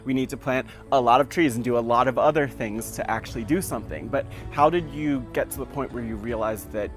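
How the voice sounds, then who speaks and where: A man's voice: medium pitch, brisk, soft background music. A man hosting an interview outdoors in a park, upbeat, asking long open questions. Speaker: interviewer, show host. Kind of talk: casual interview.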